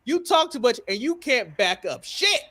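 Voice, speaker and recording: A man's voice: very high voice, sing-song, normal speed, bright, forceful tone. A man hosting a wrestling podcast, giving opinionated recaps in a blunt, emphatic and sometimes profane way. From United States.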